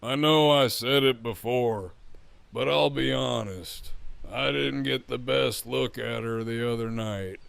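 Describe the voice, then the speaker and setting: A man playing a swaggering, menacing gang leader in an audio drama, with a gravelly voice and a taunting, crude, smug drawl. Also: medium pitch, takes it slowly, a bit bright, dry recording, exaggerated voice. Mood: smug, leering. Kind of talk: full-cast fan audio drama acting.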